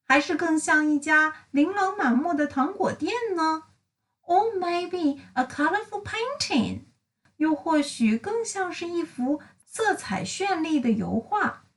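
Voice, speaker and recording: A woman's voice: very high voice, expressive, unhurried, far from the mic, exaggerated voice. A woman voicing an indecisive little lady in a children's storybook, musing with dreamy wonder.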